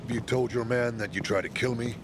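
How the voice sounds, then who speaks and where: An actor playing a gruff, steady resistance leader in a video game cutscene. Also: male voice, fairly quick, lightly acted. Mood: taunting, accusing. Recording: noisy rumbling game cutscene audio.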